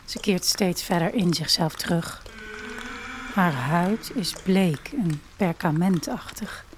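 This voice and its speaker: A woman's voice: lowish voice, takes it slowly, a little hiss or hum. A woman narrating an audio drama in the first person, reflective and intimate in her storytelling. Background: music.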